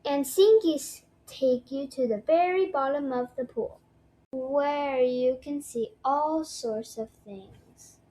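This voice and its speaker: A woman's voice: sits high, slow, dark tone. A woman reading a children's storybook aloud, sometimes stumbling over her words. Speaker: storybook read-aloud presenter.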